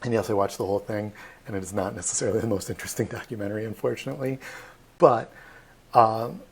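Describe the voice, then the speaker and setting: A man with a fairly low voice talks through a live online tour. He explains in a chatty, animated way, and his voice lifts and drops as he gets excited. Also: quick pace, vocal fry, a little hiss or hum. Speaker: tour guide.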